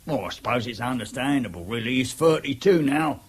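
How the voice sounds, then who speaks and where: An actor playing a wily, ingratiating ex-convict of fifty-five in a radio drama, with a husky voice and a chummy, deferential manner. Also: male voice, mid-range voice, animated, a little fast. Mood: chummy, rueful. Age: 50s. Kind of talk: naturalistic radio drama acting.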